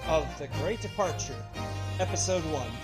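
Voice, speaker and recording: A man's voice: on the high side, animated, normal speed, over loud music. A man hosting a video game playthrough with casual, chatty delivery. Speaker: host of game voice-over video. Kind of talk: casual gaming chat.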